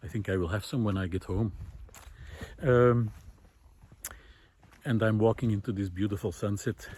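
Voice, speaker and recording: A man's voice: medium pitch, darkish tone. A man vlogging outdoors as he walks, giving a reflective, unscripted monologue in a hesitant, musing way with trailing asides. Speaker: vlogger hosting a walking talk series. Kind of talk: reflective unscripted monologue to camera while walking. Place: outdoors, walking through a village among vineyards.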